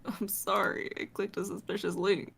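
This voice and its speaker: A woman's voice: medium pitch, flat delivery, moderate pace, creaky, fairly bright tone, husky, heavily acted. A woman voicing a flustered young female sinner who whines and pleads innocence, improvised as comedy roleplay. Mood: flustered, pleading. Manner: whiny, pleading.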